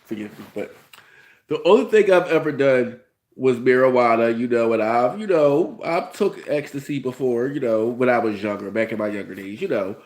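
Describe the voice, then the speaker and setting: A man's voice: mid-range voice, animated, normal speed, a little mellow. A man in his thirties with a cold, rambling through a casual review with many fillers and restarts. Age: 30s. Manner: rambling, frequent "you know" fillers, restarts. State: has a cold. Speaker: host. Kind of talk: casual reality-TV review vlog.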